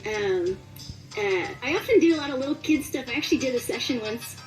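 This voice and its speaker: A woman's voice: sits high, expressive, fairly quick, recorded from a distance, faint music behind, rough recording. A woman chatting casually and animatedly as an interviewed voice actor. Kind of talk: casual chat.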